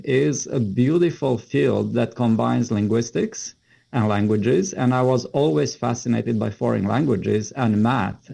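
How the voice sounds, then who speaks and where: A man's voice: medium pitch, vocal fry, a little mellow. A middle-aged man with a Romanian accent, explaining things conversationally as an interview guest. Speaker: interview guest, computer science educator.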